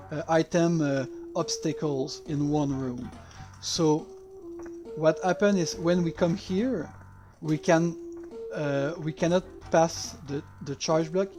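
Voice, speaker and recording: Male game developer, native French speaker with French accent; unhurried, halting delivery of casual playthrough commentary, music clearly behind. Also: a little high, a little mellow. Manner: halting, hesitant non-native English.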